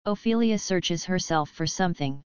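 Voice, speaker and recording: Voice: medium pitch, expressive, moderate pace. A narrator reading out stage directions for an audio play in a scripted style. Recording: dull, echoey sound, faint crowd.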